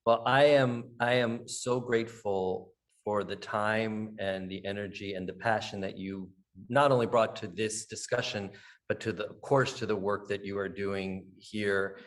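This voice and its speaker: A man with a lowish voice wrapping up a discussion with earnest thanks. He speaks warmly to the guests. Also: steady tone, measured pace. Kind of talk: closing thanks.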